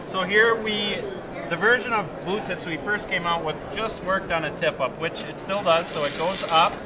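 A young man explaining a product at a trade show booth, steady and explanatory. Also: sits high, normal speed. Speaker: product demonstrator. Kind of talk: product demo. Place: busy expo hall booth. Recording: loud crowd noise behind.

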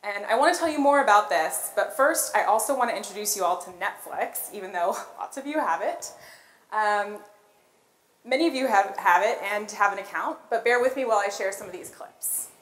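An American woman speaks on stage with a mid-pitched voice and plenty of room echo. She tells stories in a rehearsed yet conversational way and often puts questions to her listeners. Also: animated, normal speed. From born in Colombia, grew up in Los Angeles. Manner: conversational, poses questions to the audience. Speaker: conference keynote speaker, product designer. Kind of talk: rehearsed conference talk with storytelling. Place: on stage in a large auditorium. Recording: roomy echo of an auditorium.

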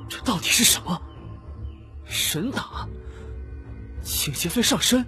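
A woman's voice: medium pitch, lively intonation, slow pace, bright, forceful tone, soft background music. A woman voicing an earnest, troubled young male cultivator in an audiobook, speaking emphatically and pleadingly. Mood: alarmed. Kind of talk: audiobook character dialogue.

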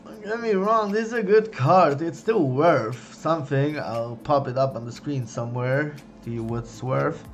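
A young man giving casual running commentary as he opens trading card packs, chatty, excited on big pulls and low on misses, recorded at home on a desk mic. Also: a little slow, hardly any pauses, faint music behind. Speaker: card-opening youtuber. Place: home room, indoors.

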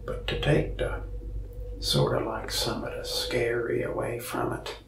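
A young man playing a lonely, friendly mask shop salesman in a soft-spoken ASMR roleplay, talking slowly and often slipping into a whisper. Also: mid-range voice, steady tone, roomy sound, far from the mic, noisy background. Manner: slow, soft-spoken, gravelly with frequent whispered stretches. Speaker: ASMR creator. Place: small indoor room, mask wall behind.

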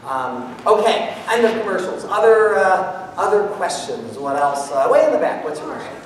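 A middle-aged American man giving a live talk on stage and taking audience questions, animated and jokey as he thinks aloud. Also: high voice, moderate pace. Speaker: guest speaker, mathematician performer. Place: theatre stage, indoors. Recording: echoey hall from far off, noisy.

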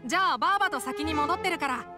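A woman's voice: high-pitched, expressive, very fast, over music. A woman voicing an innocent, affectionate little boy in an anime game, earnest and childlike. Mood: innocent, concerned.

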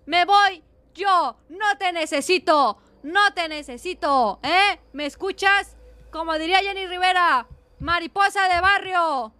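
A woman's voice: very high voice, a little slow, bright, forceful tone, dry recording. A woman with a Mexican accent commentating a horror game as a streamer, dramatic and teasing, sometimes breaking into song. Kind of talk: gaming livestream commentary.

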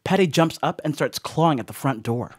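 An actor playing a sheepish young stoner house-sitter in an audio horror monologue, rambling apologetically with casual asides. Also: male voice, on the high side, expressive, a little fast, a little hiss or hum, close to an everyday voice. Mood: uneasy storytelling.

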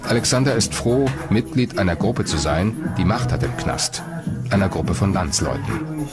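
A man narrates a documentary in a calm, measured read. His voice sits very low, and music runs loud beneath every line.

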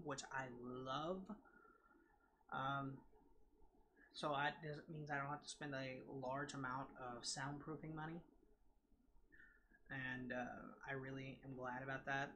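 A young man with a bright, slightly nasal voice talks straight to his webcam audience, excited and rambling, tripping over restarts and ums. He sits close to a desk mic on an arm in a big room at home, with a faint hum of ambience behind him. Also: mid-range voice. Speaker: gaming vlogger. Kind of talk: casual vlog to camera. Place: large indoor room at home. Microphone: desk mic on a scissor arm, close.

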